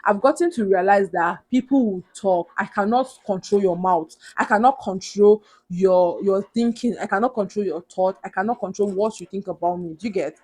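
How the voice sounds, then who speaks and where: A young Nigerian woman with a big, loud voice talks straight to camera in a vlog. She pushes her advice with earnest emphasis and keeps repeating the key points. Her pitch sits in a mid, everyday range for a woman. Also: moderate pace, hardly any pauses. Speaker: vlogger. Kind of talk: motivational advice talk to camera.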